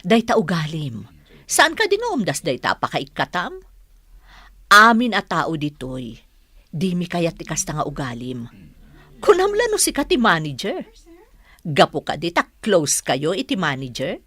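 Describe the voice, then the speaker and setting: A woman playing a stern older co-worker in a radio drama, admonishing and forceful in manner. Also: lowish voice, wildly swooping pitch, measured pace, long pauses, breathy edge, no echo, full character voice. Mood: scolding. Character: stern older female co-worker, scolding and plain-spoken. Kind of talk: Philippine radio drama acting.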